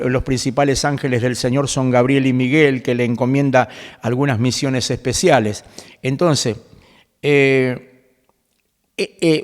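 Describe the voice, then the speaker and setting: A man in his seventies with an Argentine accent, a pastor guest who explains scripture in a conversational preaching way, quoting verses and filling pauses with "este". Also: mid-range voice, darkish tone, big-room sound. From Galicia, Spain. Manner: quotes scripture at length, fills pauses with "este".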